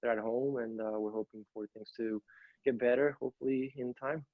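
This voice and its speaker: A man's voice: mid-range voice, steady tone, fairly quick, dry recording, phone-quality sound. A young man from Ecuador, a college tennis coach, answering interview questions from home, speaking earnestly with many fillers and restarts. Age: young adult. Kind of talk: interview over video call.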